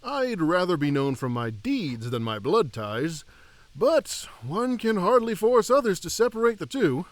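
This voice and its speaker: A humble, fatherly elf king, played by a man, speaks in a voice slightly on the high side for a man. He is reflective, candid and gently formal, in the manner of fantasy audio drama. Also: sing-song, a little slow, no echo. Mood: courteous.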